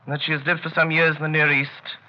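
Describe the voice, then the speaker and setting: An actor playing an urbane, coolly confident gentleman detective, speaking precisely and unhurriedly in a theatrical 1930s radio drama style. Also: fairly high pitch, little pitch movement, fast talker, lightly acted. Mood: smugly assured. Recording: muffled, noisy 1930s radio recording.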